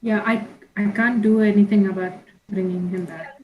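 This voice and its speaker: A woman's voice: medium pitch, steady tone, moderate pace, narrow, tinny audio. A woman playing a tabletop game, chatting casually with the group. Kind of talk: casual chat. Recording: clear background room noise.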